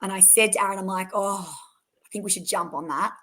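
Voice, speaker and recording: A young woman with an Australian accent, her voice hoarse and croaky, chatting as a guest on a podcast with lots of fillers and restarts. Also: mid-range voice, fairly even intonation, a little fast, pushed and bright. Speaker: race winner guest. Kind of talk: casual podcast interview.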